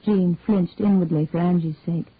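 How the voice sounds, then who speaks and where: Calm woman narrator on a tinny line. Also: fairly low pitch, moderate pace, breathy edge, no echo. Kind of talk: audiobook-style story reading. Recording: dry, tinny phone-like sound.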